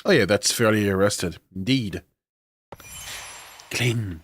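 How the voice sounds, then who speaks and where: A young man streaming a video game, talking casually with fillers and swearing, his voice rough and congested from a nasty cold. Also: lowish voice, takes it slowly, no echo. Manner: chatty, swearing, self-mocking, with filler sounds. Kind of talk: casual live-stream chat.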